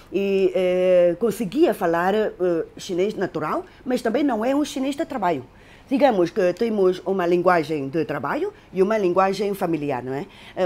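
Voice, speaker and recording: A woman's voice: lowish voice, mellow. A middle-aged woman from Macau, an interpreter telling her story in an interview, hesitant with many fillers. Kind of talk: interview recollection.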